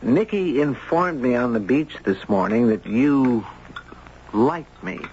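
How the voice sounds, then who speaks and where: A courteous gentleman visitor with a very lively, swooping pitch. He is gently reassuring and curious, in vintage radio drama style. The recording sounds dull with faint noise. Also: slow. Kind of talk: old-time radio drama acting and narration.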